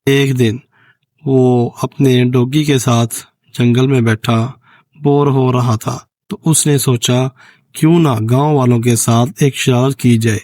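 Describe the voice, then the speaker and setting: A man narrating a moral children's cartoon story in a brisk, even storytelling manner. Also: mid-range voice, dry recording.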